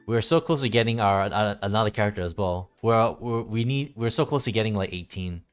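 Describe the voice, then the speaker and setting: A man streaming a game with casual commentary, thinking aloud in a halting, rambling way and repeating his phrases, with game music behind him. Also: fairly low pitch, smooth.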